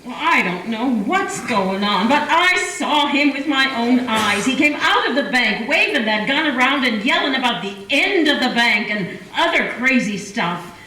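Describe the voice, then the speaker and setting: A woman's voice: sits high, expressive, moderate pace, sharp tone, a little hiss or hum. A woman playing a bold, blunt old-west townswoman in a community radio play, speaking with urgency. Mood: urgent. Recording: echoey room.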